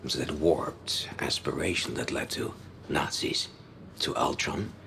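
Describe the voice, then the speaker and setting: Low gravelly brooding villain. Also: male voice, noisy background, heavily acted. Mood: cold conviction. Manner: hushed, measured, deliberate. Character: brooding vengeful male villain, coldly intellectual and measured. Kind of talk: quiet film monologue.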